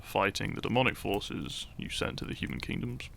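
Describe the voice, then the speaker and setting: A man playing a shy, teasing, lovestruck young hero in an intimate romantic audio roleplay, speaking softly and hesitantly with small stammers. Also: lowish voice, normal speed, exaggerated voice. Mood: flustered, coy. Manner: soft, hesitant, stammering, breathy sighs and chuckles.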